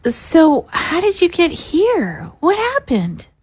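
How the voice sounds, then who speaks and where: A woman's voice: extremely high pitch, wildly swooping pitch, slow pace, darkish tone, full character voice. An actress playing a timid, apologetic young female recruit, hesitant and kind, in an audio drama. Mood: earnest. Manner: hesitant, meek.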